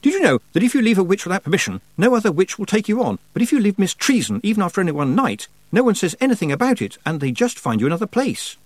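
A man reading aloud, voicing a talkative, indignant young girl witch who speaks quickly. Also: high voice, big pitch swings, dry recording, pitched above the performer's own voice.

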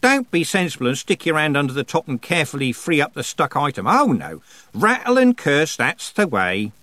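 Storybook goddess: skinny tired woman, wry and casual, high lilting delivery, performed by a male reader. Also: sing-song, normal speed, bright, forceful tone, no echo. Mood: sarcastic. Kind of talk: audiobook character voice.

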